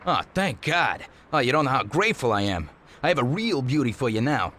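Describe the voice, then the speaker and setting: Chatty, conspiratorial mechanic. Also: male voice, mid-range voice, big pitch swings, fairly quick, a bit bright. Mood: relieved, eager. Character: friendly, anxious American mechanic with shady tips. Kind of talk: video game cutscene acting.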